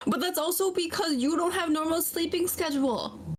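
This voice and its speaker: A woman's voice: very high-pitched, moderate pace. Bubbly woman co-hosting a podcast. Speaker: podcast co-host. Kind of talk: casual podcast chat.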